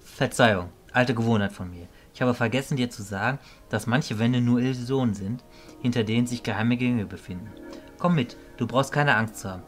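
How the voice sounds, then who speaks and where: A man plays a fatherly mentor mage in an audio play. He talks at a middle pitch, calm and grave, stating things matter-of-factly over faint music. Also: fairly quick, natural voice. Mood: reassuring. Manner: composed, matter-of-fact.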